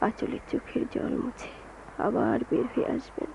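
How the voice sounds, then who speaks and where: A woman's voice: a little high, normal speed, vocal fry, darkish tone. An actress playing a sorrowful, loyal young woman in a Bengali radio drama, with plaintive, emotional delivery. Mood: wistful. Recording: tinny, noisy lo-fi radio recording.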